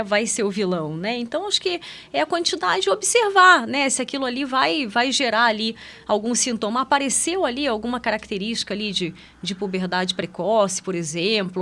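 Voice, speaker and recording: A Brazilian woman with a fairly high voice speaks as an expert podcast guest. She explains in an informal, conversational way, often ending a thought with a small tag question. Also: bright, forceful tone. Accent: Brazilian Portuguese. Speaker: podcast guest, pediatric endocrinologist.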